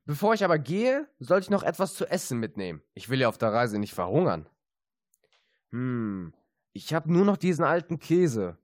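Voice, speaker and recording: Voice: mid-range voice, very animated, moderate pace, no room sound, studio quality, natural voice. A young-sounding performer playing a cheerful, boastful little tailor in a children's fairy-tale audio play, theatrical and self-satisfied. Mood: busy, chatty.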